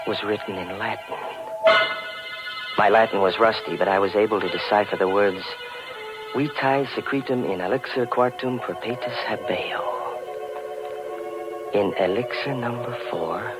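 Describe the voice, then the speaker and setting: A nosy young American man telling his own eerie story in forties radio drama style. His voice sits very low. Music plays clearly behind him on an old radio recording. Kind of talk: 1940s radio mystery acting, first-person narration.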